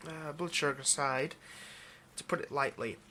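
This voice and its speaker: A man's voice: fairly high pitch, moderate pace, pushed and bright, a little hiss or hum. A man playing a nervous, obsessive vampire lab technician in an intimate ASMR roleplay, with hesitant, stammering, overly polite delivery. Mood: eager, flustered.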